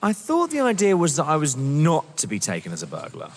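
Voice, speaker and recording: An actor playing a down-to-earth young lover drawn into a scheme, with a wary, dry, protesting manner, in a stage-thriller audio drama. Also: male voice, fairly high pitch, wildly swooping pitch, fairly quick. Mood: sceptical. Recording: long hall-like reverb.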